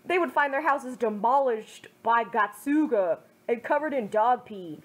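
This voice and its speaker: A young woman giving a gentle, earnest amateur reading of a fan fiction story on a home microphone. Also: a little high, lively intonation, measured pace.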